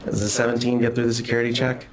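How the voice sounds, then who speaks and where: A man's voice: medium pitch, completely flat delivery, racing, crowd noise. A man taking part in a tabletop game as a player, speaking casually. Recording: echoey room.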